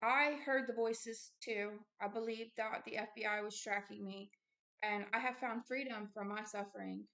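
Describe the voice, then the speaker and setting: An earnest woman hosts an informal religious video with a mid-range voice. She moves between reading aloud and her own commentary. Her delivery is halting, with insistent asides. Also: medium pitch, steady tone, bright, forceful tone.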